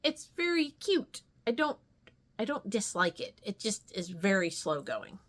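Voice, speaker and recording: A woman's voice: mid-range voice, wildly swooping pitch, a little slow, a bit bright. A woman chatting casually as a craft vlogger, rambling and thinking aloud as she shows her projects. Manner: rambling, thinking aloud, trailing tag questions. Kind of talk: casual chat, showing stitching projects.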